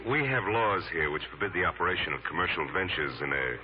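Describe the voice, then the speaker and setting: Deliberate official Rigby, muffled radio. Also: male voice, deep voice, expressive. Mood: ominously polite. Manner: hesitant, formal, deliberate. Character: measured, ominously polite male city official Rigby. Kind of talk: 1940s radio acting. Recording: muffled old radio recording.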